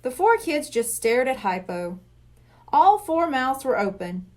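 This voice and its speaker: A woman's voice: high voice, sing-song, measured pace, a little mellow. A woman reading a children's storybook aloud as scripted narration. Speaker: library reader of a children's book.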